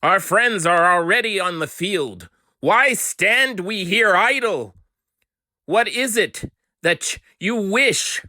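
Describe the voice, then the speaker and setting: A man doing a dramatic, oratorical reading in a deliberate, declamatory and emphatic manner. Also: sits high, lively intonation, bright, forceful tone, dry recording, clean studio sound. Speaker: amateur dramatic reader.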